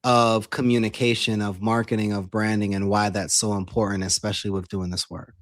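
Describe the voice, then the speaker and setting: A man's voice: on the low side, level tone, a little fast, no echo, clean studio sound. A man hosting a podcast, asking long open questions and affirming his guest. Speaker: podcast host. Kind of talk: conversational podcast interview.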